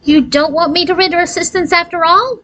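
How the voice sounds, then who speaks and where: A woman's voice: very high-pitched, steady tone, a little fast, a bit bright, pitched above the performer's own voice. A woman voicing an anxious, self-important fairy godmother who talks in pompous, formal officialese for a storybook. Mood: crushed. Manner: pompous, formal bureaucratic wording.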